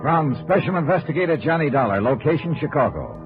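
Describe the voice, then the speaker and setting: A man's voice: on the high side, big pitch swings, quick pace. A male actor playing a cool, hard-boiled insurance investigator in a 1950s radio noir, clipped and matter-of-fact. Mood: brisk reporting. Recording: dull vintage radio recording, music behind.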